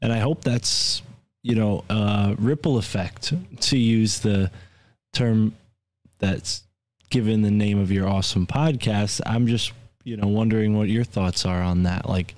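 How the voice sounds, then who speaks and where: A man from the United States co-hosting a podcast, talking in a relaxed, thoughtful way and asking open questions. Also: on the low side, a little slow, dry recording. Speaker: podcast co-host. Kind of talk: casual podcast chat.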